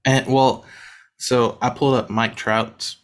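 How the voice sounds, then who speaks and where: A man in his thirties co-hosting a casual sports podcast, feeling a bit unwell, talking in a relaxed way with plenty of fillers. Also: medium pitch, takes it slowly. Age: 30s. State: under the weather, voice deeper than usual. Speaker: podcast co-host.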